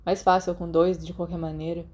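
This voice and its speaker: A caring teenage schoolboy from a storybook, read by a woman with a Brazilian accent. He talks casually and matter-of-factly, in a mellow, relaxed tone, like a practical friend taking charge. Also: lowish voice, brisk, natural voice. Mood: practical, calm. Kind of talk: storybook character dialogue.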